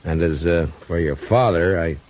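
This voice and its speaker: An American frontier marshal with quiet authority and dry wit. His voice is deep and mellow. It is a muffled vintage radio western. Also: normal speed. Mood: amused, patient. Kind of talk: old-time western radio acting and narration. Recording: muffled old radio recording.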